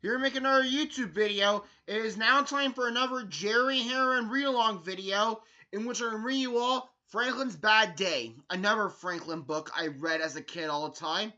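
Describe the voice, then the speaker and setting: A man hosting a read-along, reading a children's picture book aloud with expressive, emphatic delivery. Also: sits high, normal speed, hardly any pauses, dull audio. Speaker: storyteller, video host.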